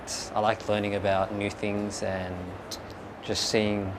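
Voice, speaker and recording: A man giving measured, hesitant answers in a documentary interview. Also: on the low side, monotone, noisy background.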